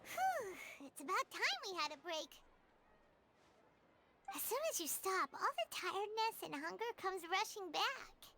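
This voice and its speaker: A woman voicing a small, perky, childlike game companion with a squeaky voice. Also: sing-song, measured pace, noisy background, exaggerated voice. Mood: relieved. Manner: refers to herself in third person, perky. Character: small excitable childlike female companion, always hungry. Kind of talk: animated game voice acting.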